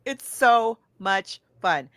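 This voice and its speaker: A woman podcast host chatting casually in an enthusiastic, halting way as she recaps. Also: mid-range voice, expressive, fairly bright tone, no room sound. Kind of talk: casual chat recapping a film.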